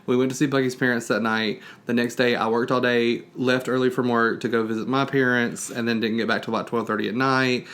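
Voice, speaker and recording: A man's voice: mid-range voice, flat delivery, brisk, few pauses, fairly bright tone. A man with a Southern US accent, chatting casually as a podcast co-host and telling his week in a friendly, storytelling way. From North Carolina, US. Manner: friendly, storytelling, addresses listeners as y'all. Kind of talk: casual chat.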